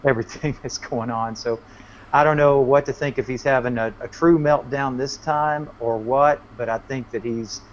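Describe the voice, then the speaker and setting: A man's voice: medium pitch, steady tone, moderate pace. Dull-sounding laid-back man, casual guest. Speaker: guest, working musician. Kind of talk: casual interview chat. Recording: dull lo-fi connection, faint noise.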